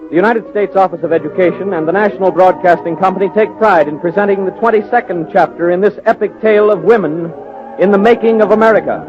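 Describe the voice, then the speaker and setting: A man announcing a scripted 1940s radio program in a formal, solemn, declamatory manner. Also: high-pitched. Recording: dull vintage radio recording, music.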